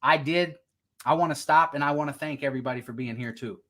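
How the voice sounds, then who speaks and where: An energetic man hosts a recovery live show. He speaks a little higher than most men and repeats his phrases with a preacher's rhythm. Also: fast talker. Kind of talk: upbeat host introduction.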